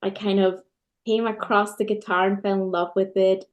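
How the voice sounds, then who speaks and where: A woman's voice: medium pitch, flat delivery, moderate pace, dull audio. A woman from Peru, a guitarist and scholar in her thirties, answering interview questions with enthusiastic storytelling. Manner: enthusiastic, storytelling with occasional restarts.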